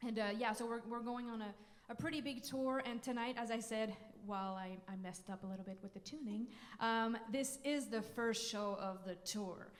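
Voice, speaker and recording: A woman's voice: fairly high pitch, steady tone, normal speed, hardly any pauses. A young French Canadian woman, a native French speaker, talking casually and with lots of fillers to the audience between songs from a concert stage. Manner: chatty, giggly, lots of fillers. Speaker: singer-songwriter. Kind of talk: onstage banter between songs. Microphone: stage vocal mic. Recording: live hall reverb.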